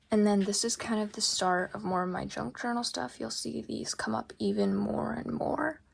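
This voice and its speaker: A young woman flips through her journal, speaking softly, often close to a whisper, with lots of ums. Her voice is strongly gravelly and breathy, raspy for the day. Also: mid-range voice. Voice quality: gravelly, husky. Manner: soft, often half-whispered, rambling with ums. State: raspy voice today. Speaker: journal vlogger. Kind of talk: casual journal flip-through.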